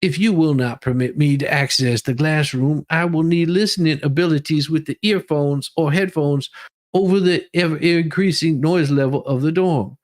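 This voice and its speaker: A man giving a mock-dramatic reading as a demanding woman inmate pleading her own case, with halting, emphatic delivery. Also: a little high, close to an everyday voice.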